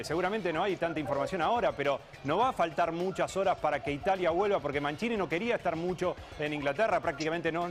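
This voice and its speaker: A male news anchor asking long, rambling questions full of tag questions on live TV. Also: on the high side.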